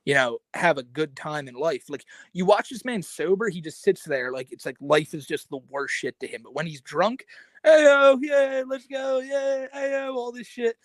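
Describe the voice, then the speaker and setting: Podcast guy rambling thru a story, laughs a lot, kinda high voice, dry close mic. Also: moderate pace, no room sound. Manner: animated storytelling, laughs often, lots of filler words. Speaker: podcast co-host. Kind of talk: casual chat.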